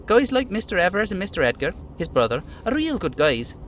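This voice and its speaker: A man's voice: high voice, sing-song, brisk, a bit bright, a little hiss or hum, voice pushed up. A man voicing a simple, friendly little dock worker from a video game, chattering in a naive, folksy way. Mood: eager, friendly.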